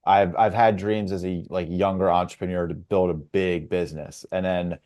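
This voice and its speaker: A man's voice: sits low, flat delivery, normal speed, a little mellow. A man in his forties with an American accent, speaking in an earnest, reflective way in a casual roundtable. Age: 40s. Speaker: podcast panelist.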